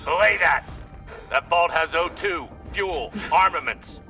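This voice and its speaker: Forceful, hard-edged space captain. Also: male voice, fairly high pitch, lively intonation, measured pace, recorded from a distance, faint music behind, exaggerated voice. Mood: angry. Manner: barking orders, gruff. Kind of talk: video game voice acting.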